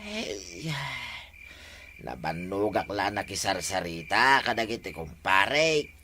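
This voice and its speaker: A man acts a guilty, evasive village husband in a Filipino comedy drama. He talks slowly, with a lively swing in pitch. The recording is slightly noisy. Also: heavily acted. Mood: sleepy, weary. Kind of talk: Ilocano barangay comedy drama acting.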